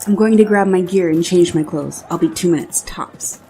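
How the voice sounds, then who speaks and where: A woman's voice: fairly low pitch, normal speed, mellow, close to an everyday voice. A woman playing a headstrong, wry young male warrior in an audio drama. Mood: stubborn.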